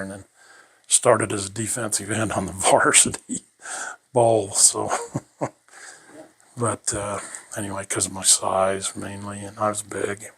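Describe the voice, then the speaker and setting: An older man with a fairly low voice tells his life story in a rambling, folksy way. He drifts between thoughts and chuckles easily as he goes. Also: vocal fry, fairly bright tone, slightly rough. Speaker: interviewee.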